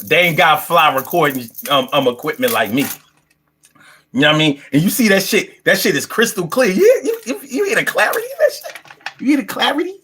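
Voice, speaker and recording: Brash, animated man in his fifties. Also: high-pitched, a little fast, slightly rough. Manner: brash, heavily profane, slangy street talk; animated, repeats phrases for emphasis. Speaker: livestream host. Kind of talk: casual livestream chat.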